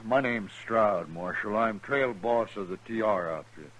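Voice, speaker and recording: A man's voice: fairly low pitch, unhurried, a bit bright. A man playing a tough cattle-trail boss with an American accent in a 1950s radio western. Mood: terse, uneasy. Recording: dull, faintly noisy vintage radio.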